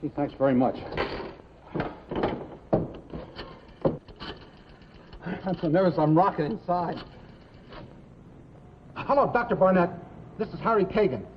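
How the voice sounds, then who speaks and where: A man's voice: high voice, animated, normal speed. An actor playing a jittery, polite expectant father in a 1950s television drama. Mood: flustered, grateful. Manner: jittery, eager, apologetic. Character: nervous, hurried young expectant father, friendly and polite. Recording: noisy, echoey, dull 1950s TV soundtrack.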